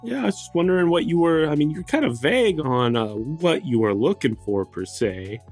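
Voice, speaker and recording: Low-voiced, animated woman playing a tabletop game. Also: normal speed, faint music behind. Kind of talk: casual in-character improvised chat.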